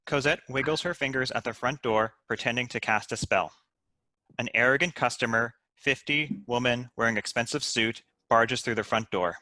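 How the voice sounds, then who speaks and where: A man's voice: medium pitch, moderate pace, sharp tone, dry recording, poor audio. A man reading stage directions as scripted narration at a comedy table read.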